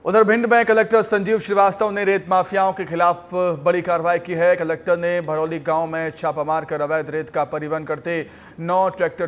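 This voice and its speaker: A man reading television news as an anchor. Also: a little high. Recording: music bed under the voice. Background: news music.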